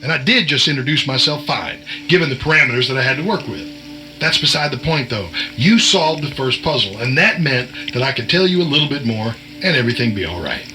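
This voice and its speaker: Man acting bright-voiced, folksy, cagey insider over music. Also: medium pitch, lively intonation, a little fast, low-quality recording. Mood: irritated, defensive. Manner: folksy, chiding, cryptic. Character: cagey, wisecracking male veteran insider and short-order cook. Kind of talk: audio drama acting.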